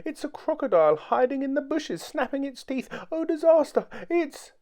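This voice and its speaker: A storyteller voicing a tiny, nervous male storybook character, squeaky and excitable, in frantic, melodramatic outbursts. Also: normal speed. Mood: panicked shrieking. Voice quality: shrieking, squeaky.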